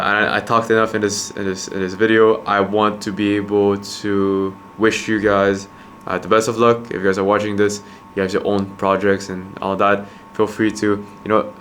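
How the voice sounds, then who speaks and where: Brief: young man, slightly low voice, earnest vlog monologue, stop-start phrasing, faint room ambience. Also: fairly bright tone, a little hiss or hum. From Quebec, Canada. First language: Khmer. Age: young adult. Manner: halting, many restarts and repeated words, earnest. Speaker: vlogger, entrepreneur. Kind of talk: reflective casual monologue to camera.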